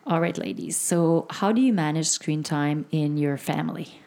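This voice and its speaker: A woman's voice: sits low, normal speed, creaky, dark tone. Upbeat woman in her forties hosting. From Canada. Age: 40s. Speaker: podcast host. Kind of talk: hosting a parenting podcast chat.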